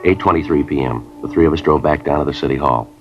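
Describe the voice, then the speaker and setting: An actor in 1950s radio drama playing a terse police sergeant who narrates and talks in a flat, clipped, matter-of-fact way. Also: male voice, very deep voice, quick pace. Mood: narrating. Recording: lo-fi old radio broadcast with music.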